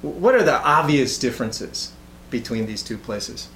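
A man's voice: medium pitch, expressive, moderate pace, fairly bright tone, roomy sound, slight background noise. A man hosting a casual interview show, talking hesitantly with many uhs and restarts. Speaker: show host.

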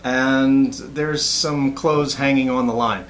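A man's voice: medium pitch, fairly even intonation, slow, fairly bright tone, reverberant, a little hiss or hum. A man hosting a casual interview show, talking hesitantly with many uhs and restarts. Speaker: show host.

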